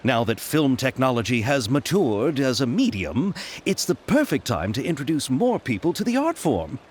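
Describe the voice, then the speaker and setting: A man's voice: mid-range voice, very animated, moderate pace, a little hiss or hum, natural voice. A man playing a chatty, courteous producer. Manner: courteous, wordy, given to nervous laughs. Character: polite, eager young male film producer. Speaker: game character. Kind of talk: video game voice acting. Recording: game audio with soft music.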